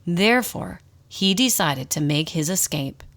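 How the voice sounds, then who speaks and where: A woman narrating a scripted radio drama in an expressive storybook style. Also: on the low side, a little slow.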